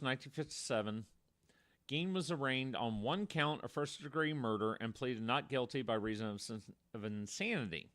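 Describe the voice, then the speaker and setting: Man, mid-pitched voice, casual podcast storyteller, wordy, digressive, dry close sound. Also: fairly bright tone, no room sound. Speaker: podcast co-host. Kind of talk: casual storytelling about true crime.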